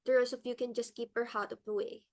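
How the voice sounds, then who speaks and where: A woman's voice: fairly high pitch, level tone, quick pace, darkish tone, lightly acted. A woman playing a fussy, determined society hostess and mother in a dramatic, exclamatory stage play reading. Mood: brisk, bossy.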